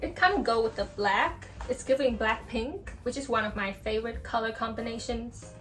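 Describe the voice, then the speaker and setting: Chatty excitable woman in her twenties. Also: fairly high pitch, normal speed, faint music behind. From Vietnam. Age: 20s. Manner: chatty, excitable and self-mocking. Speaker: vlogger. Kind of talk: casual vlog chat. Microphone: phone.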